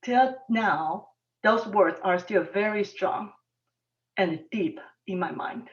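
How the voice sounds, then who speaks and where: Middle-aged woman from Taiwan, soft-spoken and hesitant, mid pitch, heard from afar on a lo-fi call. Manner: soft-spoken, frequent ums, halting. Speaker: mathematics professor, panelist. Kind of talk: personal talk at an online panel. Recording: distant, dull lo-fi sound.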